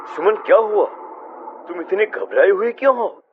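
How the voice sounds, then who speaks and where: A man's voice: sits high, lively intonation, moderate pace, slight background noise. A man playing a reassuring, mildly dismissive young husband in a horror cartoon. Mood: concerned, questioning. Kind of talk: dramatized horror story voice acting. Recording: muffled band-limited audio with music.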